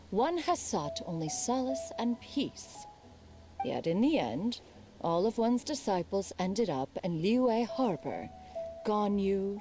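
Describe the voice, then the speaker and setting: A woman's voice: mid-range voice, warm tone. A woman voicing a proud, aloof immortal elder master in a fantasy game, with formal, archaic, haughty diction. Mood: wistful, reflective. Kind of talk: animated fantasy game acting.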